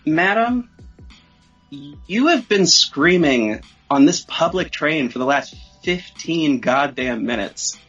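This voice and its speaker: A man's voice: a little high, takes it slowly, slightly rough, muffled recording, soft background music. A man telling a story casually on a podcast, swearing freely and acting out what people said. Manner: expressive, acts out quoted remarks. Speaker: podcast speaker.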